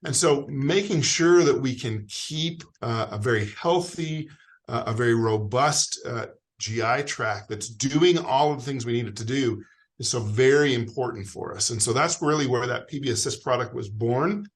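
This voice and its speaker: A man's voice: mid-range voice, normal speed. A man with an American accent, a guest expert on a video call, explaining in a friendly way with frequent fillers. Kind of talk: explanatory interview answers.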